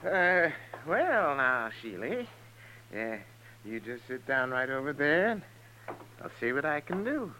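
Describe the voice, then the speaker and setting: A folksy, crusty town doctor of the Old West. His voice is highish and sharp with lively swoops, hesitant and fussy, in 1950s radio western style on a noisy old recording. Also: unhurried, exaggerated voice. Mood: flustered, folksy. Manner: hesitant, folksy, muttering exclamations.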